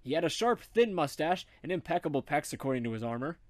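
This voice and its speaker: A man's voice: on the high side, very animated, quick pace, dry recording. A man narrating a comic story as he reads it aloud. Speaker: narrator, reader.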